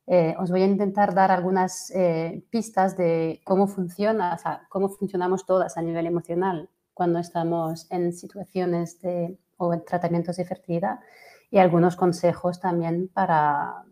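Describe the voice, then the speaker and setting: French-accented woman in her 40s, mellow low voice, hesitant webinar coach. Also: level tone, normal speed. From France. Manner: hesitant, many fillers and restarts. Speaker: fertility coach. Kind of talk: webinar presentation.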